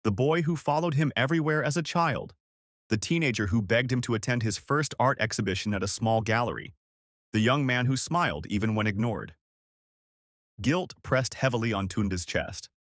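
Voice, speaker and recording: Male narrator for scripted short fiction. Animated intonation, mid-range voice, very dry sound. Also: mid-range voice, moderate pace, dry recording. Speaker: storyteller.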